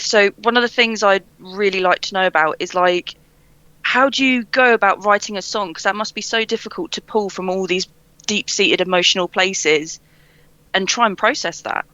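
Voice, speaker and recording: Bright, enthusiastic woman, dry muffled sound. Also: medium pitch, clean tone, dry recording. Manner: gushing, enthusiastic fan. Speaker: podcast co-interviewer. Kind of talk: casual interview chat.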